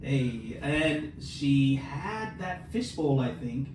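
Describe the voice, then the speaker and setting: A man's voice: mid-range voice, expressive, very slow. A man with a mid-range voice co-hosts a casual podcast. He tells stories conversationally and acts out his own reactions as he goes. The room is very reverberant, with noticeable noise and the mic some way off. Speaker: podcast co-host. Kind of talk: casual chat about books. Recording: very echoey, noisy room, distant mic.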